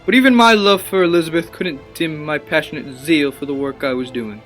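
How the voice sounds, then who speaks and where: A man's voice: fairly high pitch, brisk, fairly bright tone, faint music behind, natural voice. An actor playing an obsessive, passionate young male scientist in a radio play, with earnest, theatrical and formal delivery. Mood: grave, haunted. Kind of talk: dramatic radio play acting and narration.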